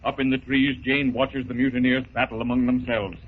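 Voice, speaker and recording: A man's voice: mid-range voice, level tone, fairly quick, natural voice. Man as vintage radio serial narrator, tinny sound. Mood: dramatic, suspenseful. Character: grave storyteller of a jungle adventure serial. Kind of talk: scripted 1930s radio narration. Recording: tinny, hissy 1930s radio recording.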